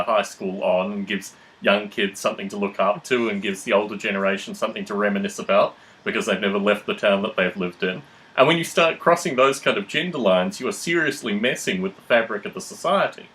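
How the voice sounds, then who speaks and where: This is a man with a fairly low voice, hosting a podcast discussion. He speaks in long, analytical sentences with a measured, conversational delivery. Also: fairly bright tone, rough recording. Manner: measured, analytical, wordy phrasing. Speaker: podcast host. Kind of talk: conversational discussion, framing questions.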